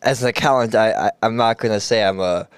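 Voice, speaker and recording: A man's voice: on the low side, quick pace, clear, resonant tone, dry recording, clean studio sound. A man who works as an accountant, talking through retirement saving in a casual podcast chat, explaining plainly with frequent restarts. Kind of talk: casual conversational podcast talk.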